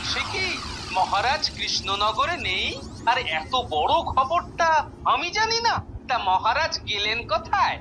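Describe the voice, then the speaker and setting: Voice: medium pitch, very animated, unhurried, noisy background. An actor with a Bengali accent playing a clever, suspicious male court jester in a cartoon, musing and exclaiming. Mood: surprised, probing.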